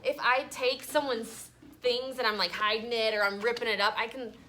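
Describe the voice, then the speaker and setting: Female schoolteacher, high and bright, expressive story read-aloud and class chat, some background noise. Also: moderate pace. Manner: animated, conversational, checking in with questions. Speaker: elementary school teacher. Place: classroom with children.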